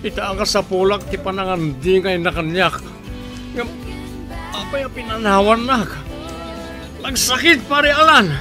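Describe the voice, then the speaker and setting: An actor in a radio drama playing a heartbroken, desperate husband, pleading with anguish. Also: male voice, sits high, normal speed, long pauses, over music, close to an everyday voice.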